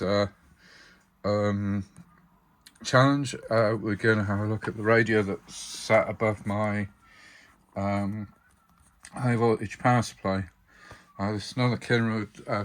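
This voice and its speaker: A man giving a casual, unscripted hands-on demonstration of his radio collection, speaking in hesitant, halting phrases. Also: on the low side.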